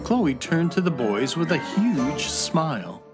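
A man narrating a children's fantasy audio drama in an expressive storytelling manner. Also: a little high, over music. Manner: slow, expressive.

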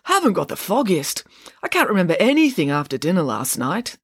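A woman's voice: fairly low pitch, big pitch swings, fairly quick, breathy edge, no echo, studio quality, full character voice. A woman voicing a dim but affable, befuddled schoolboy who is unwell, in an audiobook.

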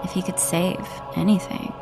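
An actress narrating a brooding audio drama as its storyteller, in a measured, lyrical manner. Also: female voice, fairly low pitch, flat delivery, vocal fry, rough recording. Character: brooding storyteller of a 1950s coming-of-age tale. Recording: loud music behind narration.

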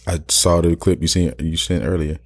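A man co-hosting a casual chat, relaxed and conversational, raising questions to keep the talk going. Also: low voice, moderate pace, breathy edge. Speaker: podcast co-host.

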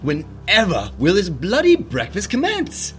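An actor playing a suave, condescending gentleman villain in a theatrical fairy-tale radio drama, with a courtly, archaic delivery. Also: high voice, huge pitch swings, unhurried, faint music behind, heavily acted. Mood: uneasy, impatient.

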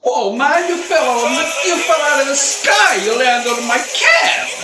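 A man doing an excitable cab driver. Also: very high-pitched, unhurried, far from the mic, heavy background noise. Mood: excited. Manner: excitable, loud. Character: excitable male cab driver and circus acrobat. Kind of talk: amateur community radio play acting. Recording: echoey room.

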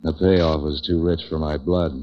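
An American man playing a cynical, street-wise waterfront hustler in deadpan 1940s radio noir style. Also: very deep voice, moderate pace, faint music behind. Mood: wry, world-weary. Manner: hard-boiled, deadpan wisecracking. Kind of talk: 1940s radio noir acting, first-person narration. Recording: dull, lo-fi 1940s radio recording.

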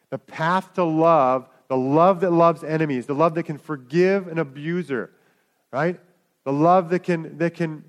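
Conversational male pastor preaching. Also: on the high side. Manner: conversational, with frequent restarts and asides to the congregation. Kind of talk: sermon.

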